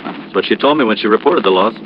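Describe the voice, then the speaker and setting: Man playing an earnest, fast-talking American insurance manager. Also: fairly low pitch, lightly acted. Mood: protesting, earnest. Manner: earnest, explanatory, conversational. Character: earnest, trusting, mildly anxious male insurance office manager. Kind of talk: 1950s radio drama acting. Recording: dull, noisy old radio recording.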